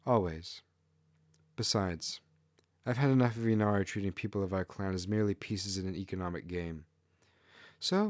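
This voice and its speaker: A man's voice: low voice, darkish tone, no room sound. A man voicing a principled, helpful female kitsune clan leader, confident and earnest, in a fantasy story.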